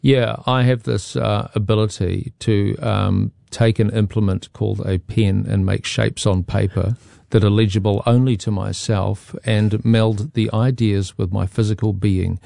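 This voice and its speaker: Dry-witted male co-host, low and gravelly. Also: steady tone, a little slow, hardly any pauses, mellow, no room sound. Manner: dry, joking. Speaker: radio co-host. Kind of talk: casual radio chat.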